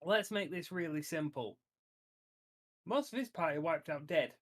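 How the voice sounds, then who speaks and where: A man's voice: on the high side, lively intonation, a little slow. A man talking bluntly and insistently as a player in a tabletop roleplaying game.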